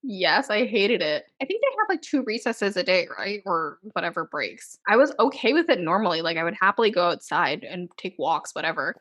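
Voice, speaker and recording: A young woman from Canada co-hosting a podcast, chatting casually with lively swings in pitch and frequent laughter. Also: fairly quick, few pauses. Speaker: podcast co-host. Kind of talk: casual chat.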